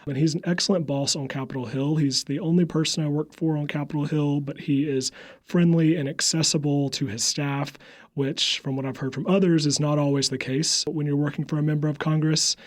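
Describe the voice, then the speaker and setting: A man's voice: on the high side, steady tone, brisk. A young man from upstate South Carolina with a Southern accent, speaking earnestly as an interview guest, with occasional fillers and stammers. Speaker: guest, think tank media staffer. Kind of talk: conversational interview.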